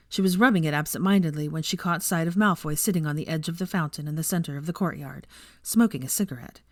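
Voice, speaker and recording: Female story narrator, low register, dry close recording, scripted fiction reading. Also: no room sound.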